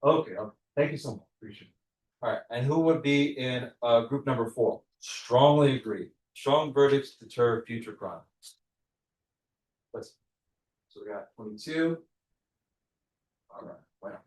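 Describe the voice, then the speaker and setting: A man working as a prosecutor, questioning a jury panel in a quick, conversational way. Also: medium pitch, darkish tone. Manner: fast, conversational, explains with examples. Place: large courtroom. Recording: echoey courtroom from far off.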